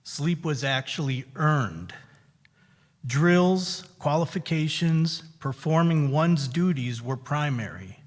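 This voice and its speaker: A middle-aged man, a veteran guest speaker, giving a measured, conversational reflection at a synagogue service. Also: on the high side, lively intonation. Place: synagogue sanctuary. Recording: reverberant hall.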